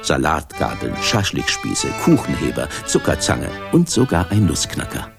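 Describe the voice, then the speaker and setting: A man's voice: rumbling low, sing-song, moderate pace, vocal fry. An older man narrating a children's radio play in a wry storytelling manner. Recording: accordion music under narration.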